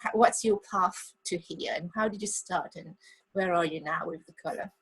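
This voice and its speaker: A woman casually presenting and interviewing, hesitant, pausing and rephrasing as she goes. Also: lowish voice, slightly rough, poor audio. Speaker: interviewer, bridal accessories designer.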